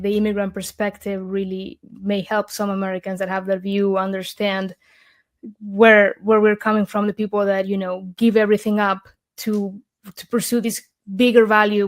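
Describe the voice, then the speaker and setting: Young woman from argentina, guest on an interview, earnest heartfelt talk, lots of ums and restarts. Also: medium pitch. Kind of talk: conversational interview.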